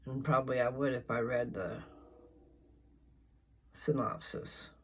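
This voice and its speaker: An older speaker with a husky, unpolished voice talks at a very unhurried pace. The delivery is folksy and informal, wandering through casual chat and pausing to hunt for the next word. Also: medium pitch, steady tone. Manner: folksy, informal, meandering speech; halting, searching for words. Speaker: host of a scripture reading channel. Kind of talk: rambling casual chat before a reading.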